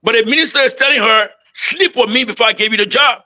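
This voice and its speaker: A man's voice: extremely high pitch, quick pace, sharp tone. A man arguing politics with passion and repeating his points for emphasis. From Liberia. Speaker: panel speaker. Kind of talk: heated political argument.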